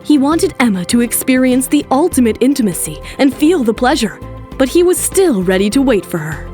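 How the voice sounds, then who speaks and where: A woman narrates a romantic audio drama in a high voice. The delivery is scripted and dramatic. Soft music plays beneath her. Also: very animated, brisk.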